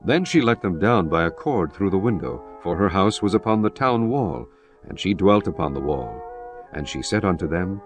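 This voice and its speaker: A man narrating scripture in a solemn, measured way. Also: sits low, animated, faint music behind.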